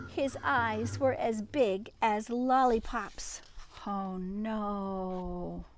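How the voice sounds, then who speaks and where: A woman reading a children's storybook aloud expressively, breaking off for chatty asides to young listeners. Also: a little high.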